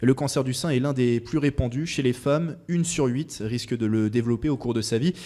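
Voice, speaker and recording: Male radio host, mid pitch. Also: fairly quick, dark tone. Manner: reads intro smoothly, asks with some hesitation. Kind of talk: radio interview. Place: radio studio.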